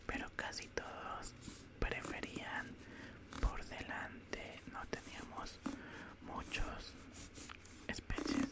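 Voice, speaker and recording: A young man playing a calm, politely menacing alien doctor in a soothing whispered ASMR roleplay, close to the microphone. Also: natural voice. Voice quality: soft, breathy unvoiced whisper. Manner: slow, soothing, matter-of-fact. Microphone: close studio microphone.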